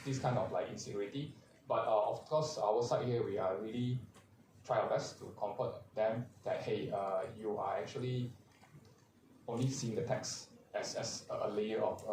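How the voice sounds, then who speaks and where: A man, a vtuber agency manager on a convention panel, speaking haltingly with many fillers. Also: on the low side. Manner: halting, many "uh" fillers, non-native grammar. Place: large convention hall. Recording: echoey hall, distant mic, faint crowd noise.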